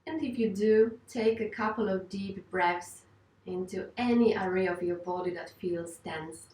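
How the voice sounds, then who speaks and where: A woman teaching yoga and guided meditation, speaking in a gentle, unhurried, reassuring way. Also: medium pitch, a little hiss or hum. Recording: roomy, distant microphone.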